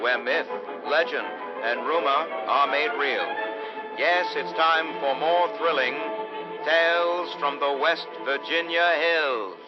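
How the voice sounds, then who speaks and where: A solemn, theatrical American radio host, played by a man, introducing tales in a portentous 1940s manner. The voice is fairly high, on a muffled old broadcast with clear music behind it. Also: lightly acted. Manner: dramatic, portentous, deliberate phrasing. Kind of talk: 1940s-style radio drama narration. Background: dramatic music.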